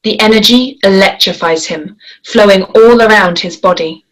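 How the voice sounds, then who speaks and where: Woman, mid-pitched, measured screenplay table read. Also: poor audio. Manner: measured, descriptive reading. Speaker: script reader.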